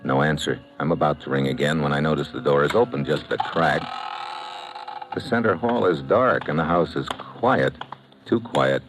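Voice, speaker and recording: An actor playing a terse, wisecracking American investigator, delivering tough noir narration and dialogue for radio. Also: male voice, extremely low pitch, moderate pace. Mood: tense, deadpan. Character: hard-boiled, wisecracking American investigator hero. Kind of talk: first-person noir narration and radio acting. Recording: noisy old-time radio recording.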